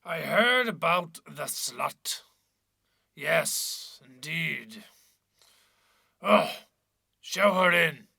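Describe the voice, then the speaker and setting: A man plays a fat, self-important Irish parish priest in a staged story reading. His voice is gravelly and forceful, with a stern, menacing air. He speaks extremely slowly, grunting out his contempt. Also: lively intonation, no echo, full character voice. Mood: contemptuous. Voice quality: deep, grunting. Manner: pompous, slow and stern. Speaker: actor in a dramatic reading. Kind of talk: theatrical dramatic reading of literature.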